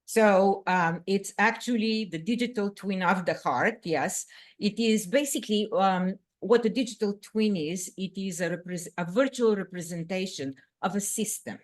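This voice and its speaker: A woman from Bulgaria answering interview questions as a scientist, talking in a lively way with frequent "um" fillers. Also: medium pitch, normal speed. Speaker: guest scientist.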